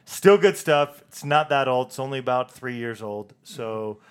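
A man co-hosting a casual music podcast, brisk and upbeat, moving the talk along. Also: mid-range voice, lively intonation, clean tone. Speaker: podcast co-host. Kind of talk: casual chat.

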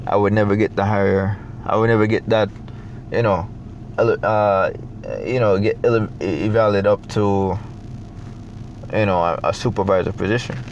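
A young adult man from Jamaica with a Jamaican accent, talking in a reflective, halting way with many fillers as a guest in a casual interview. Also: fairly low pitch, steady tone, a little mellow, dull audio, slight background noise.

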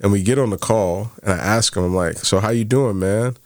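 Deep gravelly man in his thirties. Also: fairly quick, darkish tone, no echo, studio quality. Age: 30s. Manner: storytelling, quoting others, many fillers like "like". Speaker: podcast co-host. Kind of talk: casual faith-based podcast chat.

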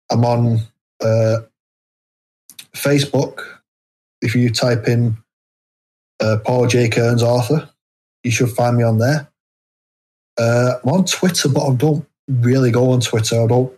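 A man's voice: mid-range voice, warm tone, poor audio. A man from the UK with a British accent, speaking as an interview guest in a casual, hesitant, self-deprecating way. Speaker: guest, indie horror author.

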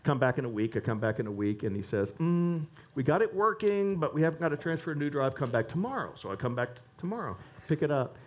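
A man preaching a sermon, animated and rising to emphatic peaks. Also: a little high, fast talker, hardly any pauses.

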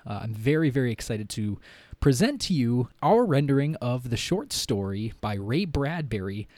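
A man's voice: medium pitch, warm tone, no echo. A man speaking as a podcast host and narrator, presenting literature and audio drama.

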